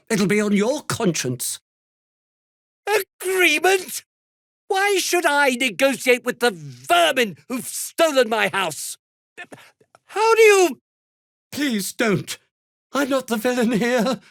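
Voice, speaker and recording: An actor playing a pompous, jittery, self-serving merchant in a video game, speaking with a British accent in a haughty, indignant manner that falters nervously. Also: male voice, extremely high pitch, a bit bright, no room sound, studio quality, full character voice. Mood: panicked, pleading. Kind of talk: video game dramatic acting.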